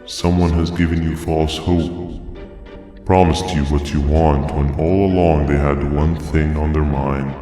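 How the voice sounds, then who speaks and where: An extremely deep, even-toned giant jellyfish monster delivers grandiose, coolly menacing video game monologues. The words are deliberate and theatrical, ringing in long reverb with music behind. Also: male voice. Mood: calm menace.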